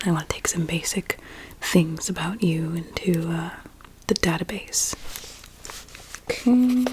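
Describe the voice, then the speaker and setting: A woman playing a sinister, courteous doctor in an ASMR roleplay, mostly in a soft, breathy whisper with a measured, clinical manner. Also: on the low side, slight background noise, natural voice, low-quality recording. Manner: slow, measured, clinical and politely formal.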